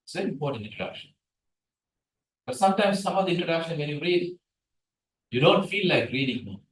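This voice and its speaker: A man's voice: on the high side, fairly quick, slight background noise. Echoey lecturing man, native Tamil speaker. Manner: animated, asks the room questions. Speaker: guest lecturer. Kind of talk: lecture on scientific writing. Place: seminar room, hybrid session. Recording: echoey room from a distance.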